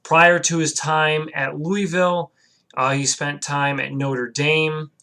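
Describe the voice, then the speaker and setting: A man's voice: fairly high pitch, flat delivery, slow, sharp tone, clean tone. A young man, just over a cold, giving casual video commentary on a new coach, rambling with fillers and self-corrections. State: recovering from a cold. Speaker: sports vlogger.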